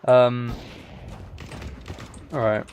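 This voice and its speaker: A man's voice: mid-range voice, level tone, extremely slow, darkish tone, a little hiss or hum. A man giving casual, chatty, self-deprecating commentary while he plays a game on a live stream. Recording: game gunfire and clicks behind voice. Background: video game gunfire, clicking.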